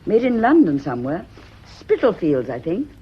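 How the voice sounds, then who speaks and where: A woman playing a tense, genteel lady's companion in a period radio drama, husky, curt and fretful. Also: lowish voice, very animated, normal speed, dull audio, exaggerated voice. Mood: evasive, uneasy.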